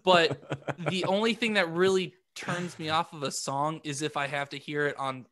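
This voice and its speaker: A man chatting casually as a podcast co-host, halting and repeating words as he works out his thoughts, often self-deprecating. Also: fairly high pitch, steady tone, a bit bright. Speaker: podcast co-host and amateur musician. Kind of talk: casual group chat.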